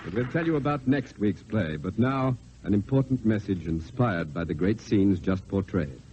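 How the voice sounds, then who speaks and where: A man's voice: fairly low pitch, lively intonation, moderate pace, a little mellow. A man announcing an old-time radio show in a formal, polished manner. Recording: muffled, hissy vintage radio recording.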